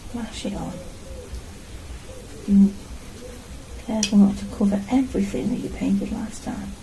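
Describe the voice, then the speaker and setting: A woman's voice: medium pitch, steady tone, measured pace, dark tone, rough recording. A middle-aged woman chatting casually to herself and the camera as she works at painting in a small room at home. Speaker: artist and vlogger. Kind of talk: casual narration while painting. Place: small home art studio, indoors.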